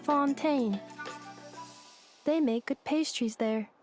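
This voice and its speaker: A woman voicing a polite, literal humanoid maid robot in a video game, with measured, detached, clipped phrasing. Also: sits high, darkish tone, faint music behind.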